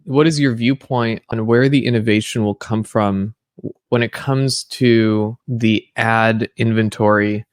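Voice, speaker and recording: A man hosting a podcast interview, asking probing questions and pushing for clearer answers. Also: on the low side, steady tone, measured pace, no room sound. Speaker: podcast host.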